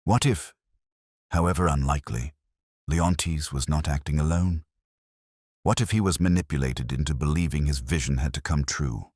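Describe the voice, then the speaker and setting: A man voicing a cool, brooding detective in an audiobook, musing in measured phrases. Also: extremely low pitch, a little mellow, breathy edge, dry recording, studio quality. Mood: pensive. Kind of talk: audiobook detective story dialogue.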